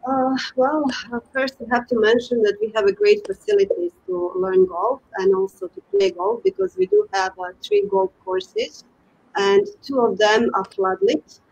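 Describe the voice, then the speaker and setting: A woman's voice: sits high, very animated, normal speed, gravelly, darkish tone, slightly rough, lo-fi sound. A middle-aged woman from Croatia with a Croatian accent, giving conversational answers as an interview guest. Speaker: guest, golf club sales and marketing manager.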